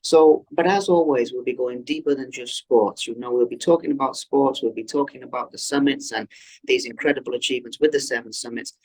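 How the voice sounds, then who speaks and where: A man hosting an interview podcast, earnest and effusive, often pausing on "um" as he builds his questions. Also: medium pitch, fairly even intonation, a little mellow, slightly rough, rough recording. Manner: earnest and effusive, with frequent ums and restarts. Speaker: podcast host.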